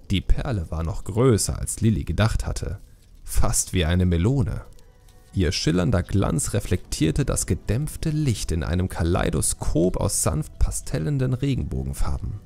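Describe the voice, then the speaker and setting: Gravelly, low male audiobook narrator. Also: dark tone. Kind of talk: scripted fantasy story narration.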